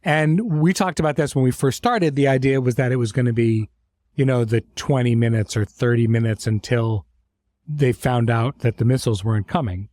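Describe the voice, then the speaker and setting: A man speaks as a podcast host in an informal, conversational way, slipping in dry asides. His pitch is mid-range, and the recording is close and dry with very little room sound. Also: normal speed, a little mellow, no room sound. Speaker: podcast host and series creator. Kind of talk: casual podcast introduction and conversation.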